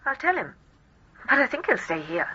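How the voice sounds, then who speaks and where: A middle-aged woman playing a brisk, exasperated mother in a naturalistic radio comedy-drama, pointed and wary. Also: high-pitched, sing-song, sharp tone, husky, muffled recording, heavily acted. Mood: exasperated, guarded.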